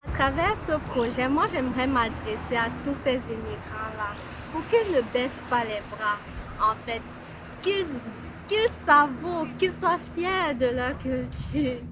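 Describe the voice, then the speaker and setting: A woman's voice: high voice, animated, a little slow, noisy background. Earnest woman. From Cameroon. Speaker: documentary participant. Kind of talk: documentary interview. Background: music.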